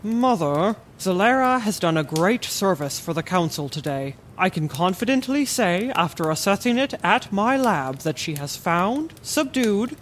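A bookish scholar in middle age acted by a woman speaks politely and precisely. The delivery is measured and articulate, suited to a fantasy audio drama, with faint noise behind it. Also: mid-range voice, big pitch swings. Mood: formal, earnest. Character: courteous, bookish middle-aged scholar reminiscing with an old friend.

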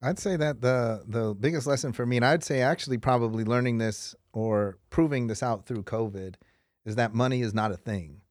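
A man's voice: medium pitch, normal speed, darkish tone, no room sound, clean studio sound. A man, a podcast guest, thoughtfully sharing a lesson in casual talk.

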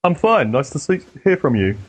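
A man from Denmark with a husky voice, chatting casually as a self-deprecating interview guest. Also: on the high side, very animated, moderate pace. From Denmark, has lived in the UK most of his life. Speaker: guest, paranormal researcher and theologian.

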